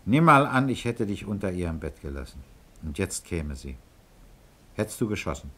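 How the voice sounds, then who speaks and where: An actor playing a calm, fatherly middle-aged police commissioner in a German radio crime drama, patient and persuasive as he coaxes and probes. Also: low-pitched, normal speed, echoey room, exaggerated voice. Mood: probing.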